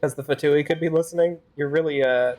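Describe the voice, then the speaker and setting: A woman's voice: sits low, steady tone, a little fast, darkish tone, a little hiss or hum. A woman streaming a game, giving casual commentary in a wry, argumentative manner.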